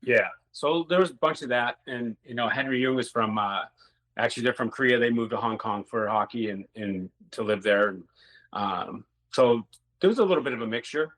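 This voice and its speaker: A man's voice: medium pitch, moderate pace, fairly bright tone, rough recording. A middle-aged man, a former hockey player, telling rambling stories in a casual interview and swearing now and then.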